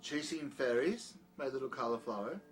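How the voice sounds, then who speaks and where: A man's voice: mid-range voice, lively intonation, moderate pace, lightly acted. A man playing a peculiar, condescending doctor with a dry, sardonic manner in an audio drama, secretly sinister. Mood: mockingly soothing. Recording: music behind the voice.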